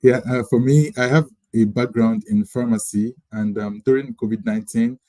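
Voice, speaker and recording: A man's voice: mid-range voice, steady tone, normal speed, warm tone, dry recording. A man with a Nigerian accent, a postgraduate student answering questions on an online panel. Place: online video call.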